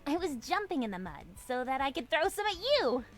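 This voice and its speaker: Actress as playful teasing girl-next-door friend, very high and swooping voice, visual novel, faint music behind. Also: big pitch swings, fairly quick. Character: playful teasing young female childhood friend. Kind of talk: visual novel character acting. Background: game music.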